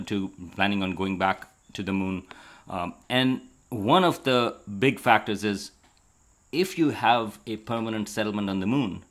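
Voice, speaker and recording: A man's voice: fairly low pitch, a bit bright. A middle-aged man in a casual science conversation, speaking as an educator and co-host into a handheld microphone indoors. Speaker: astronomy educator and show co-host. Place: bright room at a table indoors. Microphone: handheld interview microphone held out to him.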